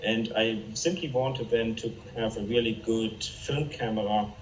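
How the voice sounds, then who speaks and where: A man's voice: fairly low pitch, steady tone, roomy sound, muffled recording, a little hiss or hum. German-accented man on a distant video call. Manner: earnest, halting with many fillers. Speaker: interview guest, photographer.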